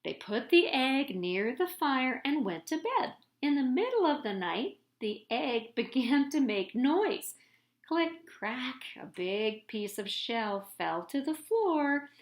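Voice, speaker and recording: Expressive, high-voiced woman storyteller. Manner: dramatic, deliberate emphasis. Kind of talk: expressive children's picture book read-aloud. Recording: echoey room.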